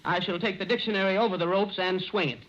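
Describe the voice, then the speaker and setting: Pompous bookish man, acted by a male comedian, high-pitched and pedantic, for vintage radio comedy with heavy noise. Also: steady tone, fast talker, natural voice. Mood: pleased, eager. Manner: pedantic, over-precise, flowery vocabulary. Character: pompous, bookish man clumsily trying out slang. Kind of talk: 1930s-40s radio comedy acting. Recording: noisy, muffled old radio recording.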